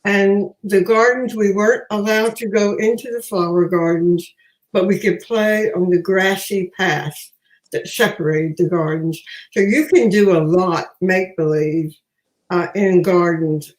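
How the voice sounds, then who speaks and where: Older woman, unhurried pace, mid-pitched voice, measured storyteller, roomy echo. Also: fairly even intonation. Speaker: guest, master gardener. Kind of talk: conversational reminiscing. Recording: roomy, echoing sound.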